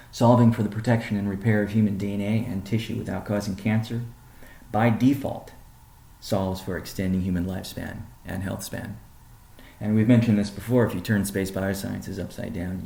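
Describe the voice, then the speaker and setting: A man presenting as a company chief on a business conference call, speaking in measured, deliberate phrases. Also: on the low side, creaky, mellow. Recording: roomy, echoing sound.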